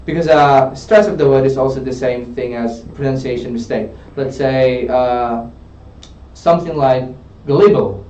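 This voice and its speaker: A man's voice: medium pitch, moderate pace, darkish tone. A man working as an English tutor, coaching a student in a studio and often checking in with "okay?". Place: studio room. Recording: roomy, distant, dull studio sound.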